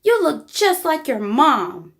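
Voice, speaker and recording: A woman's voice: sits high, sing-song, takes it slowly, voice pushed up, exaggerated voice. A woman voicing a stubborn, devoted little girl from a storybook with earnest, emphatic delivery. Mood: delighted.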